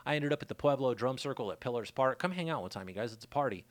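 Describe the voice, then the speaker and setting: A laid-back man talks straight into a close studio mic, his throat worn raspy. He rambles casually through stories and shout-outs. The room is dead dry, with no echo. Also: medium pitch, lively intonation, fast. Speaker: podcast host.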